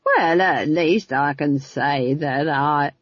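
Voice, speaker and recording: A woman's voice: low voice, slow pace, no echo, dull audio. A woman voicing a jolly, bawdy old witch with a cracked voice and a drawling folksy dialect in an audiobook. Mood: gleeful, reminiscing. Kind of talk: audiobook character voice.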